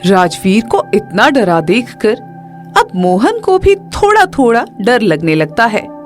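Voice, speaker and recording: A woman narrating a cartoon story in an unhurried, expressive storybook manner over background music. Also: on the high side.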